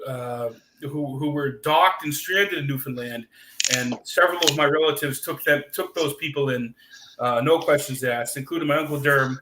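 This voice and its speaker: A man's voice: medium pitch, echoey room, noisy background. A man co-hosting a casual podcast, rambling along with lots of fillers and restarts as he tells a story. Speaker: podcast co-host. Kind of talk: casual chat reading super chats.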